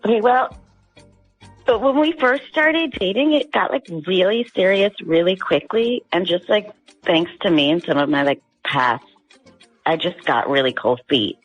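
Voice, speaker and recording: A woman phoning a radio show over background music. She talks casually at a middle pitch, rambling and hesitant as she explains herself. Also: lively intonation, measured pace, breathy edge, dull audio. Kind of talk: casual chat.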